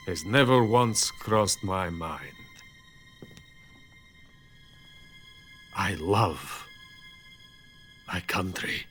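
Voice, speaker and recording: An actor playing a weary, proud older Soviet scientist, speaking in a deliberate, grandiose way in a dramatic video game. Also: male voice, deep voice, a bit bright. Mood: bitter, melancholy.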